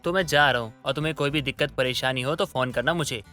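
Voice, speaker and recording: Man acting a bright-voiced coaxing young husband. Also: mid-range voice, fast talker, faint music behind, natural voice. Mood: reassuring. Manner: coaxing, placating. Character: smooth-talking, two-timing young husband full of excuses. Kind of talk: Hindi moral-story audio drama acting.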